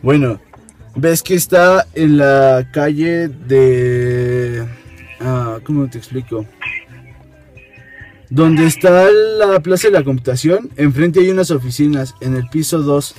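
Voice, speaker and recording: Young Mexican man talking haltingly in a car. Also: a little high, darkish tone, soft background music. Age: young adult. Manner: halting, hesitant explaining. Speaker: prank caller, video host. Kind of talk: improvised prank phone call and casual chat. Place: inside a small car. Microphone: camera at arm's length.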